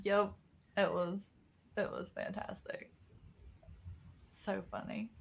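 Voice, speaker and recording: A woman's voice: medium pitch, slow, vocal fry, slight background noise. A woman co-hosting a casual book chat, telling scenes animatedly and acting out characters' reactions. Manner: animated storytelling, acts out reactions. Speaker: co-host of a book readalong discussion.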